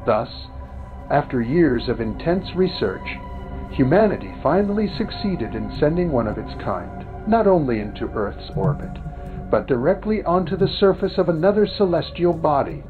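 Tinny, lively male documentary narrator. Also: a little high, normal speed. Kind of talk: formal scripted documentary narration. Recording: tinny phone-like sound over music. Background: background music.

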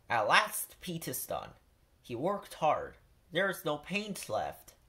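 Female storybook reader, low register, animated intonation, deliberate read-aloud for young children. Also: slightly rough. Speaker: picture book reader for children.

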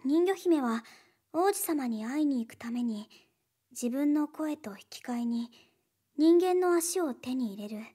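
A reserved teenage schoolgirl from an anime game. Her voice is high and soft with a breathy edge. She talks slowly and gently, hesitant and a little wistful. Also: lively intonation, heavily acted. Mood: measured storytelling. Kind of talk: Japanese anime game acting.

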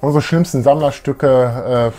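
A man's voice: medium pitch, a little slow, mellow. A man, chatting casually as co-host of a fan show. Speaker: YouTube co-host. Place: small room full of collectibles, indoors.

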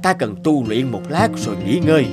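Man acting elderly magic master, animated fairy tale, solemn, measured, authoritative, background music. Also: mid-range voice, close to an everyday voice. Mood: benevolent.

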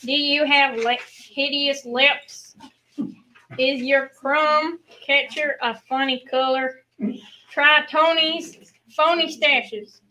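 Very high, slow, bright female ad announcer. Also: steady tone, rough recording. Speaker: sponsor announcer in a radio spoof. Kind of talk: mock old-time radio advertisement. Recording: faint background noise.

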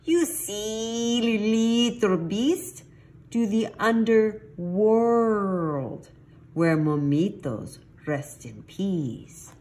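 A woman's voice: low voice, animated, slow. A woman voicing chummy, teasing little male Chihuahuas from a storybook, emphatic and sprinkled with Spanish words.

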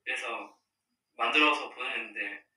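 Young male streamer, casual and soft-spoken, mid-pitched, recorded from across a table in an echoey room. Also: moderate pace, recorded from a distance. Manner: soft-spoken, drifts into murmurs and whispers, laughs often. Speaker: livestream host. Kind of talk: casual livestream chat with fans. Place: room with dark wall at a long table, indoors. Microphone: device camera across the table. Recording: roomy, from slightly off-mic.

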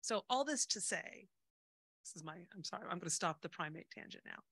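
A woman's voice: lowish voice, animated, a little fast, vocal fry, a bit bright, husky, no echo. A middle-aged woman speaking casually and unscripted from a conference stage into a handheld microphone, informal and self-deprecating.

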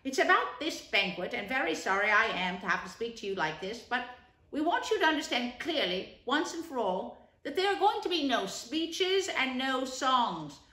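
A woman's voice: high voice, very animated, moderate pace, sharp tone, pitched above the performer's own voice, heavily acted. A woman voicing a firm, kindly male water rat who speaks in an earnest way. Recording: roomy echo.

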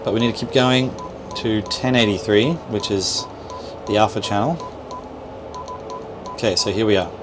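Low-voiced man explaining over noise. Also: moderate pace, pauses often. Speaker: boat dealer presenter. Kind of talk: informal instructional walkthrough. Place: small boat cabin at a marina. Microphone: clip-on lapel mic. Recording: noisy boat cabin with steady background hum.

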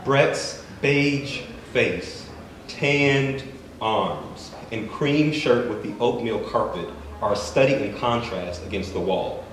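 A man reading his own fiction aloud at an open mic in a bookstore, measured and deliberate. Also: medium pitch, lively intonation, a bit bright. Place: bookstore event space, indoors. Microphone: stand mic, recorded by a far camera. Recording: echoey room from far off, faint noise.

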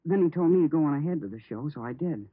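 Earnest teenage boy, husky vintage radio. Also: a little high, lively intonation, fast, no room sound. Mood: earnest, uneasy. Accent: American. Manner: earnest, hesitant, deferential. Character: nervous, polite teenage stepson afraid for his mother. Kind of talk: 1950s radio drama acting. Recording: muffled, narrow-band vintage radio recording.

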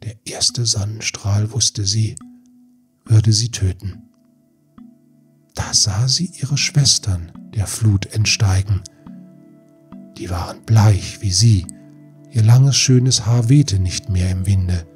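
Measured male fairy tale narrator. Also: lowish voice. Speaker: audiobook narrator. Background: soft music.

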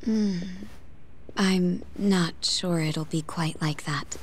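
An actress playing a cool, guarded prosecutor in a video game, with a composed, dry, formal delivery. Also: female voice, lowish voice, fairly even intonation, slow pace, slight background noise. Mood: ominous, dry. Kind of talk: English game voice acting.

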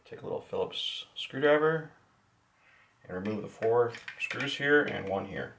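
A man casually demonstrating how to open and modify a radio at his workbench, talking slowly and haltingly to himself as he works. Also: mid-range voice, lively intonation, creaky, fairly bright tone, a little hiss or hum. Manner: slow, halting, talks to himself while working. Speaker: hobbyist showing a radio modification. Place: indoors at a wooden workbench.

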